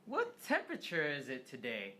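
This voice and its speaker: A woman's voice: deep voice, huge pitch swings, moderate pace, bright, forceful tone, heavily acted. A woman playing an enthusiastic drink seller in an amateur scripted radio commercial. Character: enthusiastic woman praising a refreshing cold drink.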